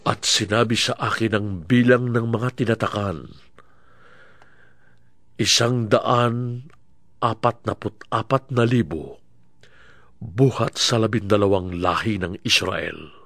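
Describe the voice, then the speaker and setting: A man reading dramatic Bible narration in a solemn, deliberate manner. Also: fairly low pitch, creaky, fairly bright tone, dry recording, clean studio sound.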